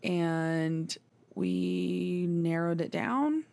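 A woman's voice: lowish voice, expressive, extremely slow, gravelly, mellow. A woman in her twenties from Texas, co-hosting a podcast in casual chat and laughing often. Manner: giggly, chatty, laughs often. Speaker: podcast co-host.

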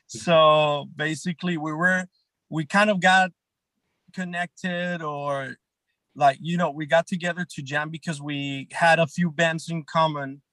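A man in his thirties talks loosely about his band, restarting sentences and checking in with the others. His voice sits a bit high for a man. The sound is close and dry, with no room around it. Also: fairly bright tone, dry recording, rough recording. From Colombia. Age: 30s. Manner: rambling, many restarts and "right?" checks. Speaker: band guitarist and songwriter guest. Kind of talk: casual interview chat.

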